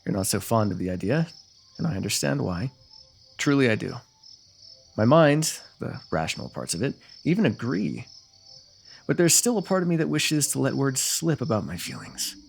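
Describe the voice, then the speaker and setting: Man acting as a flirtatious, creaky-voiced fantasy royal. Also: medium pitch, big pitch swings, normal speed, heavily acted. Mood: wry, wistful. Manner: formal, courtly phrasing with teasing flirtation. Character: teasing, lovestruck adult royal ruler, courtly and flirtatious. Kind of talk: ASMR fantasy roleplay acting.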